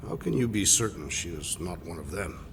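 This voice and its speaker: A man's voice: deep voice, very animated, moderate pace, heavily acted. A man playing a literal-minded, boisterous alien warrior in a video game, speaking in a formal, declamatory way. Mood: grave, formal. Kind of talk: video game cutscene acting. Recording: game audio with clear ambient noise.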